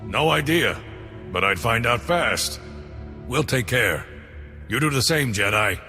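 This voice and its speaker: A man's voice: mid-range voice, lively intonation, a little slow, pushed and bright. A man playing a blunt, grateful security chief in a game, plain-spoken in manner. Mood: businesslike. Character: gruff but grateful male security chief. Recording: long reverb, faint music.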